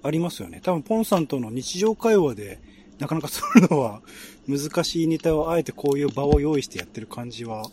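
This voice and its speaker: A man's voice: on the high side, animated, a little fast, background noise. A man talking casually and conversationally as co-host of a radio show. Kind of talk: casual chat.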